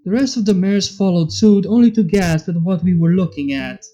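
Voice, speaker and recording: A man's voice: high-pitched, moderate pace, warm tone. A man reading fan fiction aloud in a scripted narrating style. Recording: music under the voice. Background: background music.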